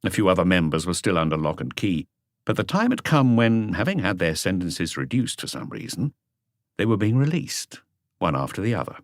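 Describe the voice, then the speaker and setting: A man's voice: deep voice, very animated, brisk, no echo, clean studio sound. A man reading a crime novel as a scripted audiobook narration.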